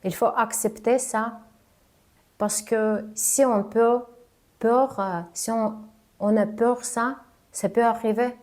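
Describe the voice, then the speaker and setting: A woman coach giving spoken advice on confident speaking, halting and careful as she talks in a language that is not her first. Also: a little high, fairly even intonation, darkish tone. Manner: careful, halting delivery of a non-native speaker. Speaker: communication coach.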